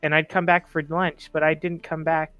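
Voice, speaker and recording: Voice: mid-range voice, flat delivery, moderate pace, highs cut off, soft background music, close to an everyday voice. A player voicing a polite, hesitant orphaned teenage boy in a tabletop game, stammering a little. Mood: eager, hesitant.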